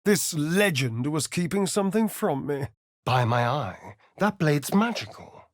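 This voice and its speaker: An actor playing a sly, vain vampire rogue in a video game, with an arch, sardonic, flamboyant delivery. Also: male voice, a little high, animated, a little slow, no echo, studio quality. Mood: wry, brooding. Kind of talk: video game voice acting, dramatic lines and narration.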